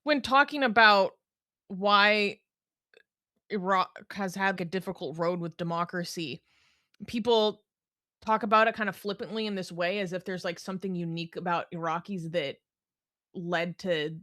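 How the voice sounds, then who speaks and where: A woman guest in a casual political discussion, lively and teasing, laughing often. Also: lowish voice, normal speed, no echo, clean studio sound.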